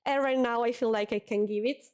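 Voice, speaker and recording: A young Italian woman talks casually to her stream chat at a desk mic. Her voice sits a little high, and she repeats words as she goes. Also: steady tone, fairly quick, darkish tone, clear, resonant tone. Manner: chatty, repeats words, reads chat aloud.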